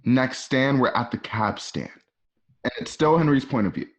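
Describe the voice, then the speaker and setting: Mid-pitched man narrating a radio drama. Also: lively intonation, normal speed. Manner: conversational, with casual asides. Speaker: narrator reading stage directions.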